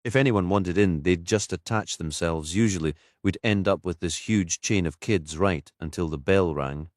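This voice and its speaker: Deep-voiced male crime audiobook narrator. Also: moderate pace, no echo, studio quality. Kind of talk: scripted narration of a crime mystery.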